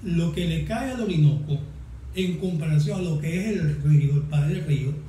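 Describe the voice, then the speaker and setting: A man's voice: on the high side, moderate pace, dark tone. A man explaining something conversationally as a guest on a talk programme. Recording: very echoey, distant mic, muffled, faint noise.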